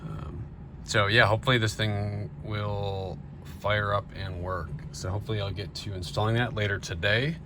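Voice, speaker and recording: American man vlogging over loud vehicle noise. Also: fairly even intonation, normal speed. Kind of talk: casual running vlog commentary while driving. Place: inside and around an old van. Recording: very noisy car interior, engine rumble.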